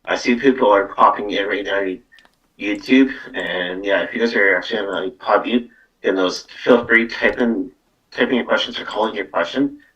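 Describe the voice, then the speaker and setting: A man with a deep voice and a bright, forceful edge speaks a little away from the microphone. He hosts a casual live tarot stream, rambling and repeating himself as he goes. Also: moderate pace, lo-fi sound. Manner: rambling, repeats words and tags "though" onto phrases. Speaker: psychic medium host giving live card readings. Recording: distant from the microphone.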